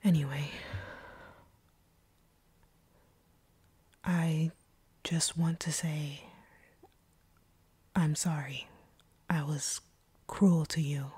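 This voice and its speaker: A woman performs as an ancient female vampire in an intimate audio roleplay. Her voice is a dark, very breathy whisper, husky and close in a dry recording. She speaks in a deliberate, hushed way, a stern captor who is softening. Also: low voice, steady tone, dry recording, heavily acted. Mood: reluctantly tender, apologetic.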